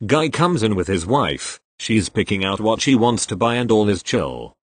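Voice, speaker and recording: A man's voice: lowish voice, very animated, normal speed, dry recording. A man reading posts aloud in scripted narration, voicing speaker labels and symbols literally.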